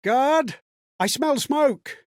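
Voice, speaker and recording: A man's voice: very high voice, expressive, very slow, no echo, studio quality, full character voice. A man playing an earnest, sorrowful male companion in a game, who relives other people's memories in reflective, theatrical monologues. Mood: panicked, pleading. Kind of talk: dramatic game voice-over monologue.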